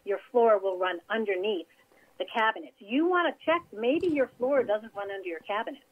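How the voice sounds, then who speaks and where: A woman's voice: mid-range voice, lively intonation, normal speed. Woman designer on a tinny phone line. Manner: chatty, explains with practical advice. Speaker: interior designer, guest. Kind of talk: casual interview over phone.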